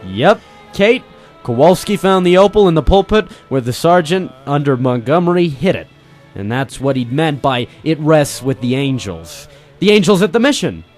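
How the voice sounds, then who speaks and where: A man's voice: a little high, very animated, moderate pace, faint music behind. A man playing a brave, wry ex-Marine private eye, narrating dramatically in 1940s radio detective style. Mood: satisfied, explaining. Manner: dramatic first-person storytelling.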